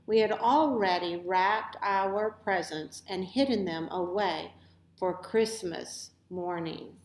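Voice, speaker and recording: A woman reading a children's story aloud with expressive, deliberate phrasing in a roomy, echoey recording. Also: medium pitch, a little hiss or hum. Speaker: storybook reader.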